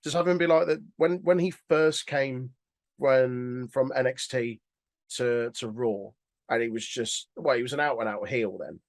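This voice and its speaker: Podcast co-host, man, mid-pitched, dry studio-close sound, rambling and opinionated, informal and sweary. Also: moderate pace, no echo. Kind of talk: casual opinionated chat.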